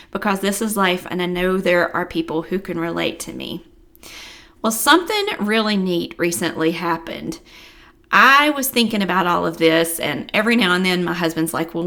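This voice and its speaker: Middle aged lady vlogging at home, reflective n encouraging, average pitch, close mic. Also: fairly bright tone. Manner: earnest, encouraging. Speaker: vlog host. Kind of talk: reflective personal talk to viewers. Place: living room at home. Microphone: large desk microphone, close.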